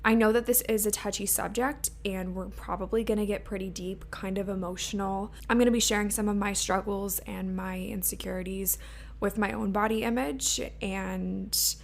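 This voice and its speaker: A woman in her twenties hosting her own podcast, talking in a casual, open way with a slightly raspy voice and lots of "like" fillers. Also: mid-range voice, steady tone, normal speed. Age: 20s. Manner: chatty and open, many "like" fillers. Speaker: podcast host. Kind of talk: casual solo podcast talk. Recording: faint hum and lawn mower behind.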